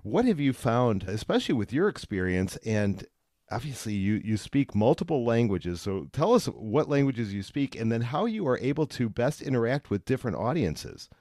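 A man's voice: medium pitch, very animated, normal speed, mellow, no echo, studio quality. A man hosting an interview in a conversational style, repeating phrases for emphasis. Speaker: interviewer, host.

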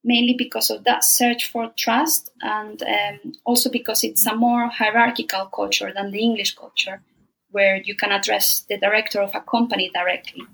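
Gravelly-voiced woman explaining in measured tones. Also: mid-range voice, level tone, a bit bright, low-quality recording. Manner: measured, explanatory, many ums and uhs. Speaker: translation company director, guest. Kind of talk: podcast interview answers.

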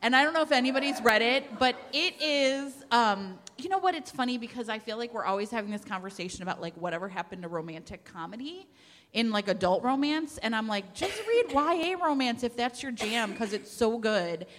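A woman's voice: a little high, animated, brisk, a bit bright, slight background noise. A woman chatting casually to a group, self-deprecating and peppering her talk with "like" as she recommends books. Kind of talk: casual chat before a live group. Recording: echoey hall with long reverb.

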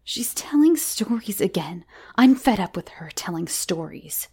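A woman's voice: mid-range voice, very animated, measured pace, mellow, heavily acted. A woman voicing a vain, impatient young mother who scolds and gets cross, in a story reading. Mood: cross scolding. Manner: scolding, exasperated, breathy asides.

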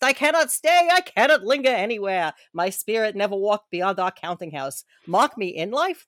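A woman's voice: medium pitch, no echo, studio quality, close to an everyday voice. A woman voicing the doomed, chained ghost of an old businessman in a comic reading, solemn in tone but cursing at itself.

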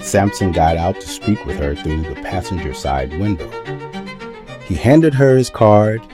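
A man's voice: sits low, expressive, a little slow. A man narrating an audio drama as a storyteller, with wry, colloquial asides. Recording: music bed behind narration. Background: music.

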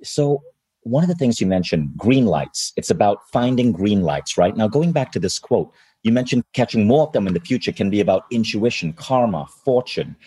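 A man's voice: fairly low pitch, animated, moderate pace, warm tone, husky. A male host running a live-streamed interview, enthusiastic and speaking to the audience watching.

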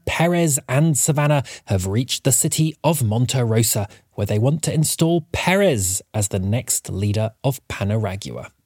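Lively, husky male podcast host. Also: mid-range voice, no room sound, studio quality. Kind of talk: scripted podcast introduction.

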